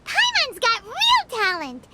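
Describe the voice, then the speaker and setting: A woman voicing a tiny, cheeky fairy girl companion in a video game, squeaky, perky and boastful. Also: huge pitch swings, slow, heavy background noise, exaggerated voice. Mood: gleeful. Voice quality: squeaky, childlike. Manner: perky, boastful, speaks of herself by name. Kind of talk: cute video game voice acting. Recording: game music behind.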